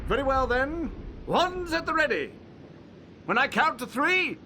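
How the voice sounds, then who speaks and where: A man's voice: very high-pitched, very animated, measured pace, pushed and bright, a little hiss or hum, heavily acted. A man playing a vain, showy wizard professor in a video game, with an English accent and a pompous, theatrical delivery. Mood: commanding. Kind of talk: video game cutscene acting.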